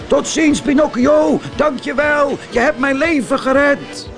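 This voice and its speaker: A man voicing a big fierce male dog in a storybook, talking in a very high pleading voice. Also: over music, full character voice. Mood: grateful. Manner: pleading, slowed.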